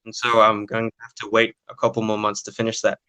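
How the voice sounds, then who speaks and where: A man's voice: on the low side, completely flat delivery, fast talker, pushed and bright, clear, resonant tone, dry recording. A nineteen-year-old man answering interview questions casually and hesitantly, with lots of filler sounds. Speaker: podcast guest, young footballer.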